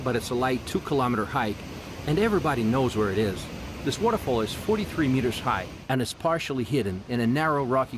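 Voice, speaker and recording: Lively male travel narrator. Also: mid-range voice, background noise.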